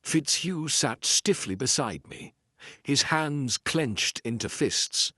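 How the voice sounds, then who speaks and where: A man narrating a detective story in a measured, deliberate, scripted dramatic style. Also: medium pitch, animated, pushed and bright, no echo, studio quality. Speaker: audiobook narrator.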